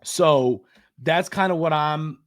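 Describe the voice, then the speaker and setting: A man's voice: fairly high pitch, slow pace, no room sound, studio quality. A man hosting a sports podcast, giving conversational commentary in an emphatic way and repeating phrases for stress.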